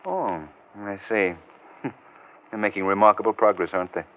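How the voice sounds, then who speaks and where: A man playing a shrewd, composed gentleman sleuth with an urbane, dry manner in a 1940s radio drama. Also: on the low side, moderate pace, natural voice. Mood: dry, thoughtful. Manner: urbane, dryly amused. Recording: muffled old-time radio recording.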